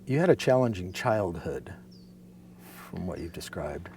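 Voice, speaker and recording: A man hosting an interview, who asks questions in a calm, relaxed way and adds short prompts. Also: deep voice, unhurried, a little hiss or hum. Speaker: interview host. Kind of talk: interview conversation.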